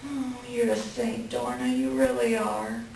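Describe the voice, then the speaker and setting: A woman's voice: a little high, level tone, a little slow, gravelly, a little mellow. A woman playing an upset, bitter wife venting about her cheating husband in a staged play reading. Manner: agitated, colloquial venting. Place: library room reading before an audience. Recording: very echoey room, distant mic, faint noise.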